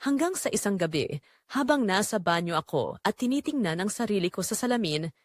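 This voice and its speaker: Expressive Filipina wife, confiding, acted by a woman. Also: medium pitch, fairly quick, no room sound, clean studio sound, close to an everyday voice. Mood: confessional. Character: quiet neglected Filipina wife confessing her hidden longing. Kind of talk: first-person dramatic audio story narration.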